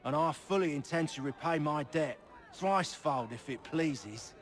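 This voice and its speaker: An actor playing a brash, scrappy young rogue and fixer in a colonial-era video game, slangy and cheeky. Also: male voice, a little high, expressive, normal speed, a faint crowd, natural voice. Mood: earnest, obliging. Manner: slangy, cheeky, rough-spoken. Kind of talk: video game cutscene acting.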